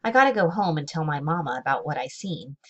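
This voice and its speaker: A woman's voice: sits low, animated, fast talker, dull audio. An inquisitive small country girl from a children's story , put on by a woman. She talks bluntly and eagerly, with folksy childish phrasing.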